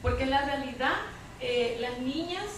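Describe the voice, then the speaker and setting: A woman's voice: sits high, moderate pace. Salvadoran woman in a very echoey room. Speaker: panelist at a public debate. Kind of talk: spontaneous spoken commentary at a public debate. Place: public debate room, picked up from afar. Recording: distant, very echoey room with clear noise.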